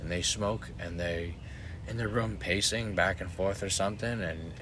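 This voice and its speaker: A man with a low voice rambles through a loose podcast chat, swearing and circling back over his own sentences. A steady hiss of background noise runs under him. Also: normal speed. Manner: rambling, profane, restarts often. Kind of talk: casual chat.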